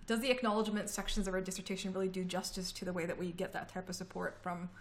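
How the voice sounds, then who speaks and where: A woman's voice: on the low side, little pitch movement, very fast, slight background noise. Thoughtful woman in casual talk. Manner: reflective, supportive listener. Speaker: graduate student. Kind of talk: casual conversation.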